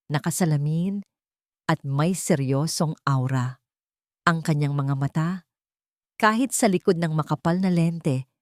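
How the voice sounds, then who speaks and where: A woman's voice: low voice, darkish tone, dry recording, studio quality. A woman reading a scripted, first-person dramatic story as its narrator.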